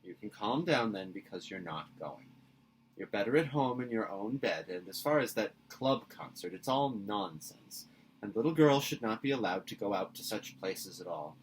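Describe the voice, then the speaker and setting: A man voicing a stern older woman guardian in a storybook, brisk, tart and scolding. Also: fairly low pitch, expressive, slight background noise, natural voice, poor audio.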